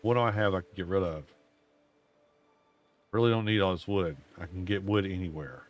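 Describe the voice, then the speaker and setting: Man, very low voice, gaming stream chatter, drawling and self-mocking, going hoarse. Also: brisk, soft background music. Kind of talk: casual gameplay commentary.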